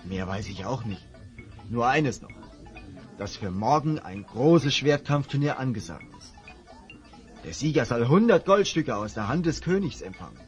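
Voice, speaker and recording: A man's voice: medium pitch, big pitch swings, moderate pace, darkish tone, lo-fi sound, faint music behind, natural voice. An actor playing a friendly but worried male innkeeper who confides rumours and warnings in a fantasy audio drama. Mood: grave, confiding.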